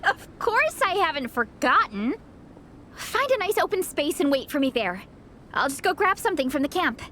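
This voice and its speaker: A woman's voice: high-pitched, sing-song, normal speed, pushed and bright, a little hiss or hum, heavily acted. A woman playing a cheerful, earnest young female fan club captain in a video game, enthusiastic and encouraging. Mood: welcoming, eager. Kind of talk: video game character acting.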